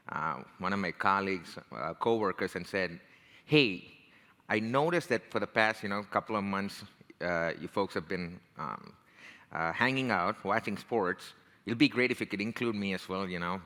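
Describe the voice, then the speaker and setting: A man with an Indian accent speaks in a bright, forceful, somewhat low voice. He talks to the room in a loose, conversational way and keeps asking "right?". The sound has a long hall reverb. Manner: conversational, frequent "right?" and "you know". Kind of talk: motivational talk.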